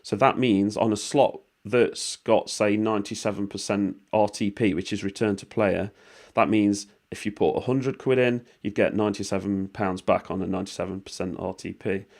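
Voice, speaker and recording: A British man with a voice worn hoarse by a cold, talking viewers through an online walkthrough in a rambling, informal way, with swearing and lots of fillers. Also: fairly low pitch, fairly even intonation, normal speed, darkish tone. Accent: British English. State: losing his voice from a cold. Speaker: vlogger explaining money-making offers. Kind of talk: casual screen-recording walkthrough.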